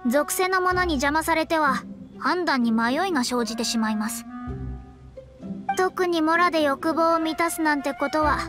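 Proud, high-voiced young astrologer. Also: female voice, lively intonation, normal speed. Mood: haughty, prim. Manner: prim, polite, stammering when flustered. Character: proud young female astrologer, easily flustered, putting on dignity. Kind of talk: Japanese anime game voice acting. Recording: music behind the voice.

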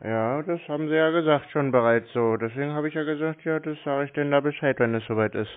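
A man on a phone call, playing a slow customer, obtuse, grumbling and argumentative. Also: fairly high pitch, smooth. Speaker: scambaiter posing as a victim on a phone call.

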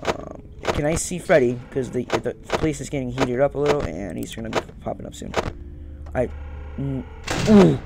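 A man's voice: mid-range voice, normal speed, gravelly, heavy background noise. A young man giving casual gameplay commentary, rambling and muttering as he talks to the game's characters. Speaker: gaming streamer. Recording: loud game music behind voice.

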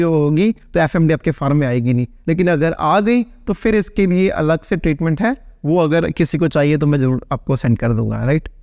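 Man in his 30s, vet explainer to camera, fairly high voice, dry close sound; easygoing and conversational. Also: a little mellow, no echo. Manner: conversational, keeps adding a checking "right?". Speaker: veterinarian answering viewer questions. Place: small plain indoor room, seated.

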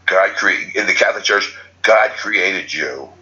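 Low rambling man, dull recording. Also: unhurried, sharp tone. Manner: rambling, restarts and self-corrections. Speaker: spiritual teacher. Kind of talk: unscripted spiritual talk.

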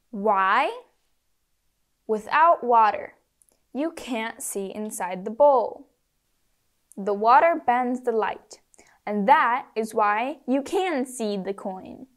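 High, very slow young female presenter. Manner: measured, word-by-word delivery for learners. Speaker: presenter of a children's science lesson. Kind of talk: scripted educational narration in simple English.

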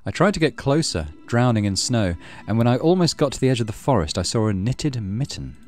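A man's voice: mid-range voice, sing-song, a little fast, a little mellow, faint music behind. A man hosting a let's play, reading a horror visual novel aloud with dramatic storytelling and muttered asides. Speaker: gaming channel host.

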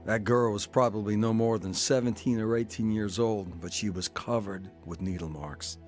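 A man's voice: on the low side, steady tone, moderate pace, over music, lightly acted. An actor playing a troubled man, earnest and reflective, in a scripted first-person radio drama. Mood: reflective, grave. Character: earnest, troubled man recounting his own past.

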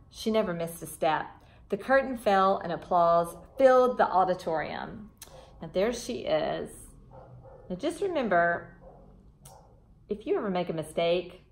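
Woman reading a picture book aloud. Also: fairly low pitch. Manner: clear teacherly storytelling with simple asides. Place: front room of a house, indoors.

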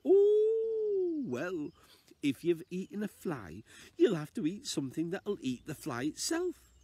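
A man voicing a wise old lady who lives downstairs, speaking in a high, quavering voice. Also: wildly swooping pitch, slow pace, warm tone, slightly rough, no echo, heavily acted. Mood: advising. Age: older. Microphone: small camera. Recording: outdoors in the rain.

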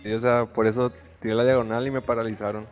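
A Mexican man talking fast in a casual gaming voice chat. His speech is slangy and full of swearing, and faint music plays underneath. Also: mid-range voice, level tone, darkish tone.

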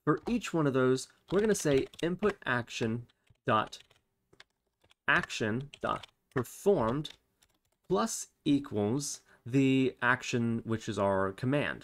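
A man with a mid-pitched voice narrates a step-by-step coding tutorial, thinking aloud as he works. He explains each move in an instructional way. The recording has noticeable background noise, with typing and clicks behind him. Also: very animated, slow pace, fairly bright tone. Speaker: tutorial host. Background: computer keyboard typing and mouse clicks.

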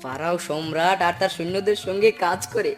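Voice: mid-range voice, brisk, faint music behind, close to an everyday voice. An actor playing a polite, eager poor boy with big ambitions in a dubbed cartoon. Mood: dreamy, greedy.

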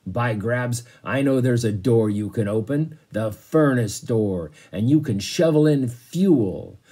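Gruff, bullying old ship's captain for a storybook, acted by a man, husky and roaring. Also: medium pitch, expressive, slow, warm tone, voice pushed up. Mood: gruff, angry. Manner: roaring, bullying, salty exclamations. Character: gruff, blustering old sea captain, bellowing orders.

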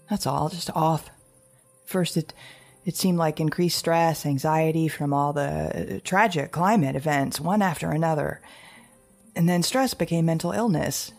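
A husky, haunted survivor mother. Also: low voice, a little mellow, full character voice. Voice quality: husky, gravelly. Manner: halting, stammering, repeating words. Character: anxious, guilt-ridden mother surviving alone after an apocalypse. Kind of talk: intimate audio drama monologue.

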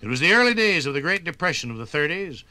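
An American man giving a scripted 1950s radio documentary narration with dramatic, measured phrasing. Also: on the high side, sing-song, sharp tone, soft background music.

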